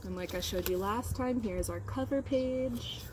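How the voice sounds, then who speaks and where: A woman's voice: fairly high pitch, a little slow, noisy background. A woman teacher leading storytime for young children, explaining things simply and prompting them with questions. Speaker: early-grade teacher.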